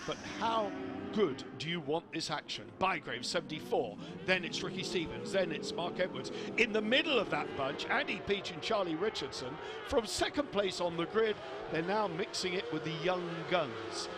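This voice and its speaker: A man giving live motorsport commentary, excitable and quick to lift his voice at each incident on track. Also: a little high. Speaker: race commentator. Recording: loud engine noise behind. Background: racing engines.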